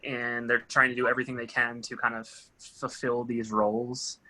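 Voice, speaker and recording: Bright-voiced man giving casual feedback. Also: mid-range voice, flat delivery, normal speed, poor audio. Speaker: table read participant giving feedback. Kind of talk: casual discussion.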